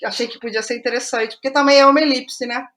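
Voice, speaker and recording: High-voiced Brazilian woman, reflective and informal. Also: steady tone, fast, a bit bright. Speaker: playwright guest. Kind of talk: conversational interview about creative process.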